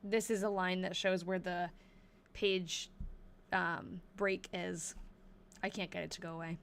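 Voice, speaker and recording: A young woman with a New England accent, chatting casually on a live stream as she reads an old diary aloud slowly and thinks out loud. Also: fairly low pitch, little pitch movement, gravelly, smooth. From New Hampshire. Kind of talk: casual livestream chat and slow diary reading.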